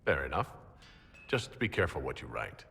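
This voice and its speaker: A man's voice: extremely low pitch, very animated, normal speed, gravelly, pushed and bright, long reverb tail, faint music behind, heavily acted. A man playing a plain-spoken, resolute hero in a video game, direct and serious in a restrained way. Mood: thoughtful. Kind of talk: dramatic video game acting.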